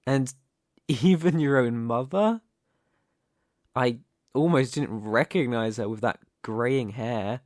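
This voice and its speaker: A man playing a smug, menacing, possessive mafia boss, speaking in a taunting, silky, deliberate way in a dramatic ASMR roleplay. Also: medium pitch, darkish tone, no echo, studio quality. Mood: mocking, amused.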